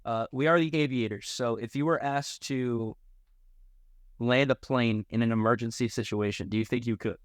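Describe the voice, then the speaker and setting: A man's voice: mid-range voice, steady tone, moderate pace, clear, resonant tone, no echo, studio quality. A man hosting a podcast, asking questions in an informal, easygoing and chummy way. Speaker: podcast host interviewing athletes. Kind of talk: casual interview chat.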